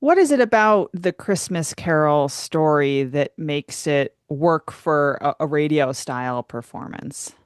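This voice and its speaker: A woman's voice: low voice, lively intonation, unhurried, few pauses, no room sound, studio quality. A woman working as a radio interviewer, asking questions in a conversational way.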